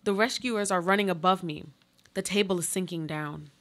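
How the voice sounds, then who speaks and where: A woman acting in a live radio play as a trapped woman stubbornly clinging to life, speaking in solemn, deliberate monologue. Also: on the low side, fairly bright tone. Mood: stoic, hopeful.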